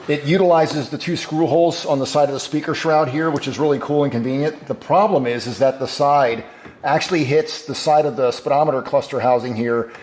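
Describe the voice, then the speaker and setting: A man co-hosting a casual how-to vlog, explaining a fitting problem in a measured, detailed way. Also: a little high, steady tone, a little mellow, a little hiss or hum. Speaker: co-host helping with the install. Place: garage.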